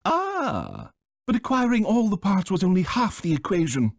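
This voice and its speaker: Pompous British bird villain, swooping theatrically. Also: male voice, high-pitched, wildly swooping pitch, moderate pace, dry recording, clean studio sound, full character voice. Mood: gloating, lecturing. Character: pompous, scheming, flightless male bird villain, small and elderly. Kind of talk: theatrical video game villain acting.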